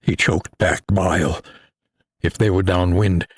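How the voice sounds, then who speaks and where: A man reading a suspenseful adventure story as an audiobook narrator, in a grave, deliberate, dramatic manner. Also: low voice, steady tone, no echo, studio quality.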